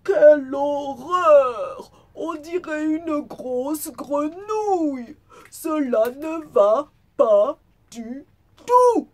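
Woman playing a loud, dramatic storybook wolf, drawn-out delivery. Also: sits high, animated, voice pushed up, heavily acted. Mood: disgusted, indignant. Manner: loud, exclamatory, drawn-out theatrical complaining. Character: big grumpy, vain male wolf, dramatically disgusted. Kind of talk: children's storybook character voice.

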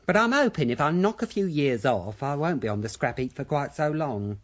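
An actor playing a camp, catty, theatrical younger English man in a radio drama, with an arch drawl and a breathy voice. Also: on the high side, lively intonation, moderate pace, highs cut off, slight background noise, natural voice. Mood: self-pitying, arch. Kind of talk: BBC radio drama acting.

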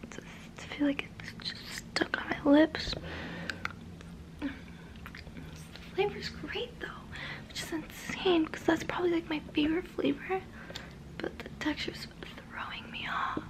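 A woman in her twenties doing a whispered ASMR roleplay, breathy and very close to the mic, softly repeating words. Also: very high voice, rough recording. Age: 20s. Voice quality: breathy, mostly unvoiced whisper. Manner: soft, halting, repeats words slowly. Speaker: ASMR creator. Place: small bedroom, indoors. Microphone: very close to the microphone.

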